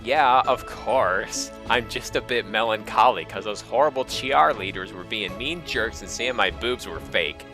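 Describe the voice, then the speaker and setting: A melodramatic, indignant teenage girl, acted out by a low-voiced man, full of self-pity in a comic fan fiction reading. There is music behind the voice. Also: a little slow, few pauses. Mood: cool, sulky.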